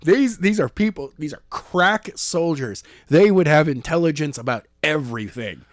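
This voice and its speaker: A man's voice: a little high, animated, moderate pace, no room sound, studio quality. A man co-hosting a podcast, casually discussing a story with sarcastic remarks and some swearing. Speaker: podcast co-host. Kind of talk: casual discussion of a novel chapter.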